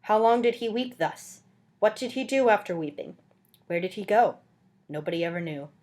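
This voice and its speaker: Woman reading an old novel out loud, super earnest and theatrical, really leaning into the words. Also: mid-range voice, expressive, normal speed. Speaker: amateur audiobook reader. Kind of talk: dramatic literary reading aloud.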